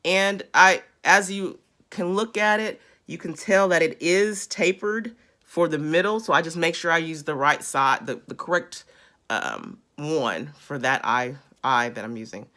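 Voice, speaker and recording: Woman in her 30s, still raspy from being sick, bright voice, chatty how-to on a phone cam. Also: low-pitched, a little slow. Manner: chatty, hands-on, talks while working close to camera. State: raspy after illness. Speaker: beauty vlogger. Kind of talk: casual how-to demo. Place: small indoor room. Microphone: phone camera close up.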